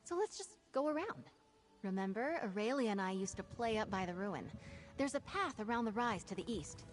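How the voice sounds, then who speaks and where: A woman's voice: mid-range voice, animated, moderate pace, lightly acted. A woman playing an eager, good-humored young knight aspirant in a video game. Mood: earnest, confident. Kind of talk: video game character dialogue. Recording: background music.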